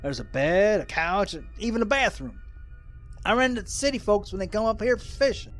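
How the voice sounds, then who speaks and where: A man's voice: high-pitched, big pitch swings, fairly quick, a bit bright, faint music behind. A man playing a folksy, jumpy rural hermit with colloquial country talk in an audio drama. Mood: folksy, hospitable.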